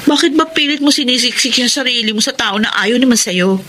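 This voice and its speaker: Pushy jealous young gal, high voice, radio drama, noisy audio. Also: female voice, a little fast, pushed and bright. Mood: jealous, scolding. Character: blunt jealous young woman, pushy and scornful. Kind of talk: Tagalog radio drama acting.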